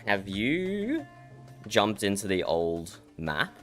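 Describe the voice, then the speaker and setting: A man hosting a livestream, croaky from just waking up, rambling and correcting himself as he chats and teases his guest. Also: fairly low pitch, huge pitch swings, takes it slowly, a bit bright. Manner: rambling, self-correcting, teasing. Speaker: streamer host. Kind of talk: casual livestream chat. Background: faint background music.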